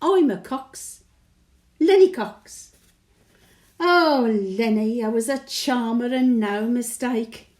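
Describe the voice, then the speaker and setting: Woman acting a high-voiced, slow-talking elderly Brummie widow. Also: darkish tone. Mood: fond, wistful. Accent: Brummie (Birmingham). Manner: folksy dialect, wistful and chatty. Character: chatty elderly Birmingham widow, sentimental, cheeky, plain-speaking. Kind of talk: dramatic monologue, conversational reminiscence.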